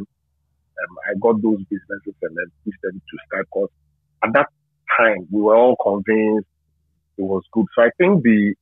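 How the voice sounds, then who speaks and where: Over a tinny, phone-like line, a middle-aged man with a Ghanaian accent answers interview questions reflectively. His low voice is husky, and he speaks haltingly, repeating phrases for emphasis. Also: no echo. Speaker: interview guest, media and marketing professional.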